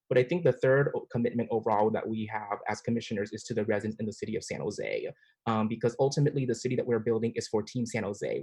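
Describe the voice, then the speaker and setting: A man's voice: on the low side, a little mellow, slightly rough. A young man in his twenties, earnestly answering interview questions over a video call, with frequent restarts. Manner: earnest, many restarts and fillers. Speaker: planning commission applicant. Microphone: video call mic.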